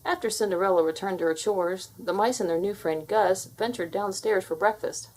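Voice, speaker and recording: A woman's voice: mid-range voice, normal speed, a little mellow, poor audio. A woman narrating a children's fairy tale as a scripted read-aloud.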